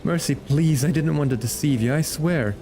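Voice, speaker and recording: A man's voice: fairly high pitch, normal speed, music in the background, lightly acted. A man voicing a boastful, shifty male axeman mercenary from a game, blustering and stammering. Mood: pleading, sheepish. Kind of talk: reading game dialogue aloud in character.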